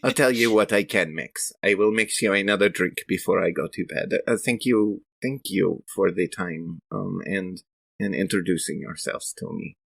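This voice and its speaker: A man playing an earnest woman scientist in a tabletop game, speaking in a halting, precise, formal way. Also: low-pitched, gravelly, dry recording. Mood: earnest, polite.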